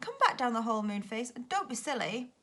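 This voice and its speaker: An anxious little storybook fairy, put on by a woman reader, urgent and a bit bossy. The voice is bright and pleading, leaping about in pitch. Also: sing-song, brisk.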